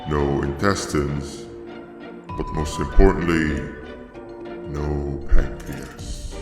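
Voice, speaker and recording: A man's voice: extremely low pitch, steady tone, drawn-out words, full character voice. A man playing a menacing, pompous, organ-eating monster in a video game, formal and mocking. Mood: sinister. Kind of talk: video game villain acting. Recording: echoey, music behind.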